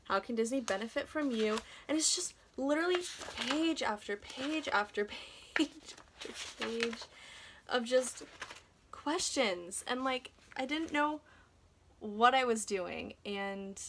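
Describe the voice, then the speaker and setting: A woman's voice: a little high, animated, slow, noisy background. Chatty young woman vlogging casually. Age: young adult. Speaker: vlogger sharing application advice.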